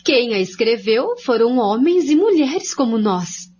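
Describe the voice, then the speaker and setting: A woman's voice: a little high, big pitch swings, unhurried, a little mellow. An actress playing an earnest woman expositor in a scripted radio drama, laying out points one by one in a didactic way. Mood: solemn, explaining. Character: woman researcher Jacira, earnest, didactic study-group expositor. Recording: dull, lo-fi recording.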